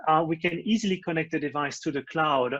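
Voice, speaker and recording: A man's voice: on the high side, fairly quick, fairly bright tone, dry recording, dull audio. A man from France with a French accent, earnestly explaining his company's needle-free device, with many fillers. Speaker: company founder. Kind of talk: webcast pitch.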